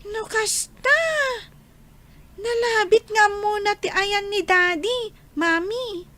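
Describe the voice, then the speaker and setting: A curious, innocent young child , voiced by a woman, in an Ilocano radio drama. The voice is extremely high and bright, with a childlike, questioning delivery. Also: steady tone, slow pace, exaggerated voice. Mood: curious, wistful.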